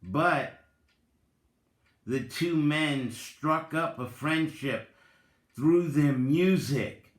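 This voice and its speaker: A man giving a dramatic reading with spoken commentary, deliberate and halting, in short phrases. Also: a little high. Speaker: reader, content creator. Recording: roomy, echoing voice recording.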